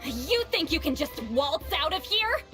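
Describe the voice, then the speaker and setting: A woman playing a sharp-tongued female shaman who is centuries old but sounds young, delivered in an impatient, threatening way. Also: high voice, big pitch swings, measured pace, soft background music, exaggerated voice. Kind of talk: video game cutscene voice acting.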